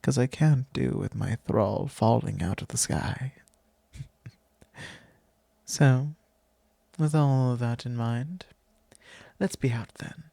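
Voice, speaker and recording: Guy doing a possessive vampire lord for asmr, really slow n soft, creaky, breathy whispery bits. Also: medium pitch, no echo. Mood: attentive, protective. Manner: soft, drawn-out, genteel old-fashioned phrasing. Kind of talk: ASMR roleplay. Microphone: close microphone.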